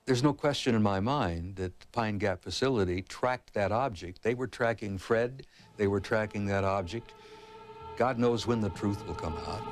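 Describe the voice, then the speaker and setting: A man interviewed as a researcher, speaking emphatically with insistent repetition. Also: lowish voice. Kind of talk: documentary interview.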